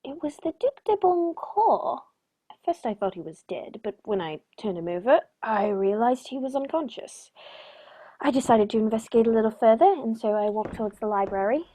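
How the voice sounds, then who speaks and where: A woman playing a composed, shrewd gentleman detective in a radio play, precise and dryly polite. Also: fairly high pitch, lively intonation, normal speed. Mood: measured recounting.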